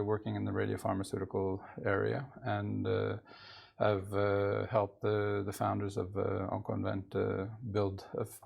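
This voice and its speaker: A man's voice: fairly low pitch, gravelly, breathy edge, dull audio. A middle-aged man with a Norwegian accent, answering interview questions in a studio, hesitant and full of filler sounds. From Canada. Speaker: company CEO.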